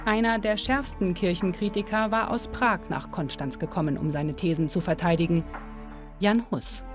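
Woman narrating a history documentary over music. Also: lowish voice. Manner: calm, measured storytelling. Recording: studio voice-over with music behind.

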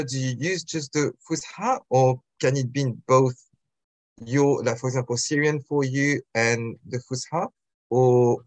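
A man's voice: mid-range voice, no room sound, low-quality recording. Young male host on a dry, close video call, hesitant, with stammered restarts. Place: home room indoors.

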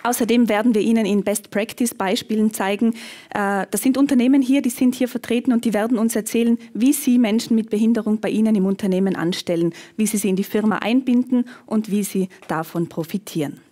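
A woman in her thirties with a Tyrolean Austrian accent, hosting an event on stage in a lively, chatty, self-joking way. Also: mid-range voice, quick pace. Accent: Tyrolean Austrian German. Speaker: event host, TV presenter. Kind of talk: event moderation. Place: conference hall on a stage.

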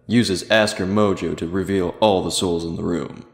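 A man's voice: low voice, fairly even intonation, normal speed. A man playing a casual, commanding asker in a scripted dub, laid-back in manner. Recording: echoey reverberant room.

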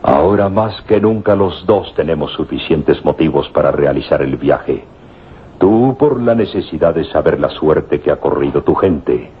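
An actor playing an earnest, fatherly professor with a measured, formal manner in an old radio drama. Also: rumbling low, animated. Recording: dull old radio recording, faint noise.

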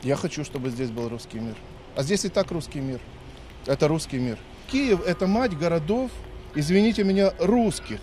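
A man in his fifties argues his point in a lecturing tone outdoors, stopping often on hesitation sounds. His voice sits a little high for a man. Faint traffic noise hums behind him on the street. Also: lively intonation, normal speed, a little mellow. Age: 50s. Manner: argumentative, lecturing with many hesitations. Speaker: dictation participant. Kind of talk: street interview answers.

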